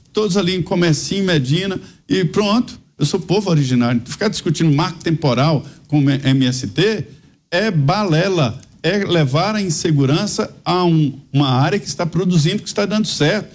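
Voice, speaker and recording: A man's voice: fairly high pitch, darkish tone. Emphatic mineiro man arguing politics. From Minas Gerais, Brazil. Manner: emphatic, argumentative, rambling asides. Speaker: political commentator. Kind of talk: panel debate commentary.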